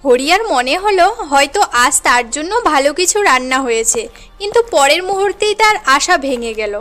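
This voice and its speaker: Very high-voiced woman narrating a story. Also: expressive, a little fast, a bit bright, soft background music. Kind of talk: scripted cartoon story narration.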